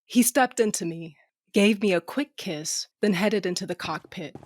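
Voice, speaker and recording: A woman's voice: medium pitch, moderate pace, no room sound, clean studio sound, natural voice. A woman playing a blunt, sardonic female starship captain who narrates her own science fiction audio drama in slangy language. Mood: wry narration. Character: tough, sardonic, foul-mouthed female ship captain with a cybernetic eye. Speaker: narrator and lead character. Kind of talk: first-person audio drama narration and dialogue.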